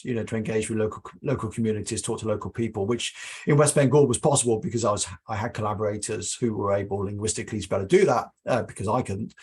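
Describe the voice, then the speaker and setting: A man's voice: lowish voice, husky. A man answering a question after his academic lecture, agreeable and explanatory. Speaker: guest lecturer. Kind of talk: academic discussion.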